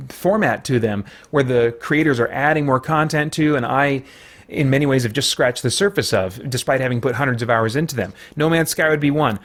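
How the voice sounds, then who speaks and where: Middle-aged man giving measured commentary. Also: medium pitch. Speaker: gaming vlog host. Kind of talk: conversational opinion commentary on video games.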